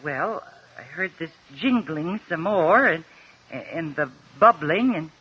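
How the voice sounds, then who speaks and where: An actress with an American accent playing a nervous, earnest courtroom witness in a 1950s radio drama, hesitant and stammering. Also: female voice, deep voice, lively intonation, slow pace, fairly bright tone, slightly rough, heavily acted. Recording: muffled old 1950s radio recording.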